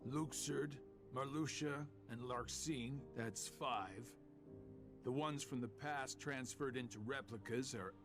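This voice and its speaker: A sly male villain from a video game, relaxed and wryly amused. He drawls his words out slowly with a sardonic edge, over clear background music. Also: medium pitch. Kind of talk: dramatic video game cutscene acting.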